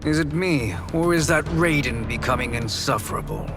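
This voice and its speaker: A man's voice: fairly high pitch, normal speed, loud music behind the voice, natural voice. An actor playing a scheming male sorcerer in a game cutscene, silky and sardonic in his phrasing. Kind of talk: video game cutscene acting. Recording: game audio with loud score.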